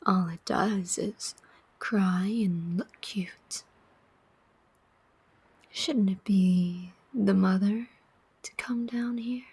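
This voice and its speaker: Casting: woman to play female demon soul collector for ASMR roleplay. Very breathy whisper, extremely unhurried, sardonic, weary, teasing. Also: medium pitch, darkish tone, dry recording, heavily acted. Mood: challenging, bitter. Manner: slow, deliberate, teasing, pausing often.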